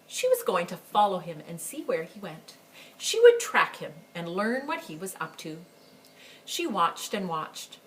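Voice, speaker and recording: A woman reading a picture book aloud to children as a library storyteller, with expressive, emphatic phrasing and chatty asides. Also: medium pitch, poor audio. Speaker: story time reader for a school library.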